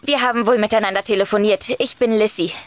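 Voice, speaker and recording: A woman's voice: medium pitch, fairly even intonation, fast, natural voice. An actress playing a polite, composed lady author in a comedic audio drama, courteous and matter-of-fact. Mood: gracious hostess.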